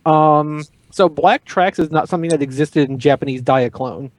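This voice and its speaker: A man's voice: a little high, moderate pace, no echo. A man chatting casually on a panel, explaining fine details as he goes. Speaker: podcast panelist. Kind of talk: casual chat about collectible toys.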